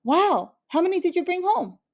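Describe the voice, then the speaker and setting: A woman's voice: very high voice, very animated, normal speed, lo-fi sound, pitched above the performer's own voice, exaggerated voice. Woman voicing a kindly, encouraging storybook father. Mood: questioning. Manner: encouraging, gently questioning. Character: kindly, encouraging father giving gentle advice.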